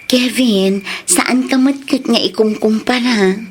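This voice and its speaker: An actress with a Filipino accent playing a loving, supportive career wife in an Ilocano radio drama. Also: female voice, fairly high pitch, takes it slowly, a little hiss or hum. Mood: concerned, soothing.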